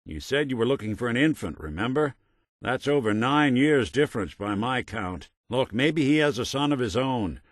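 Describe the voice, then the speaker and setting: An actor playing a world-weary, wisecracking robot private detective in a video game, with an American accent and a wry, streetwise gumshoe delivery. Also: male voice, medium pitch, animated, moderate pace, dry recording, clean studio sound, close to an everyday voice. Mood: matter-of-fact. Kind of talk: scripted game dialogue in a hardboiled noir detective manner.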